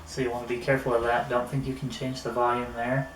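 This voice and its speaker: A man giving a casual hands-on product review, thinking aloud in a somewhat halting way. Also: medium pitch, monotone. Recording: roomy echo, distant mic, faint noise.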